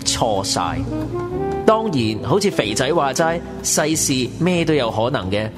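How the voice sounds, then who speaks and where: A man's voice: mid-range voice, sing-song, measured pace, over loud music. A man playing a wry, slangy young narrator in a Cantonese radio drama. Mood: wry narrating. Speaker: narrator and character.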